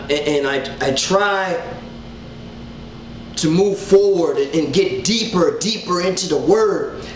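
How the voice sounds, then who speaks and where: A man in his thirties with a rather high voice teaches scripture in an unhurried, earnest way. He gestures as he speaks and circles back over his words. The webcam sound is roomy, with a faint hum of ambience behind him. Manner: earnest, animated hand gestures, repeats words. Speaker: Bible study teacher. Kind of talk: casual online Bible teaching. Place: living room at home, indoors. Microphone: webcam at desk distance. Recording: roomy home webcam audio.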